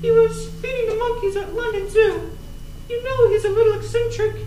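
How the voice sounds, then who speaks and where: A woman's voice: very high-pitched, steady tone, normal speed, dark tone. A young woman playing a melodramatic, plaintive aristocratic lady in distress in a comic stage reading. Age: young adult. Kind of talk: comic readers theater on stage. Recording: noisy echoey stage recording from far off.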